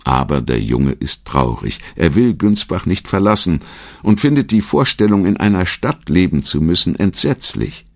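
A man narrating a scripted documentary in a measured, storytelling manner. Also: sits low, sing-song, no room sound.

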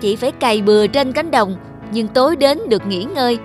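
Cartoon guard dog, played by a woman: friendly, polite, patient, high voice over music. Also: brisk, close to an everyday voice. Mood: kind, forgiving. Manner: polite, gently reasoning. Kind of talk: dubbed cartoon fable acting.

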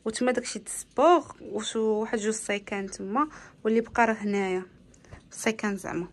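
A woman's voice: mid-range voice, fairly even intonation, fast. A woman in her twenties with a Moroccan accent, chatting casually to the camera in an informal home vlog, with French loanwords mixed in. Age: 20s. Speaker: lifestyle vlogger. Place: indoors in a bedroom at home. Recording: home recording with clear background noise.

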